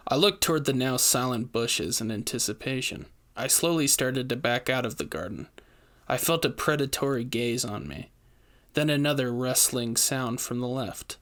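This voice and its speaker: A man tells a scripted horror story in the first person. He has a mid-pitched voice and works as a storyteller, speaking as the one it happened to. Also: moderate pace, fairly bright tone.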